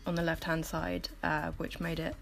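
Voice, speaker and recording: A woman with a croaky just-woke-up voice, giving a chatty, informal voiceover as an artist explaining her process. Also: deep voice, very animated, normal speed. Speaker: artist making painting process videos. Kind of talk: casual voiceover commentary. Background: music.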